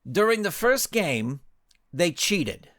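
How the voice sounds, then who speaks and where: A man's voice: high-pitched, big pitch swings, takes it slowly, fairly bright tone, pitched above the performer's own voice, heavily acted. A man voicing a timid, nervous soccer coach who stammers and hesitates in a story. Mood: reluctant.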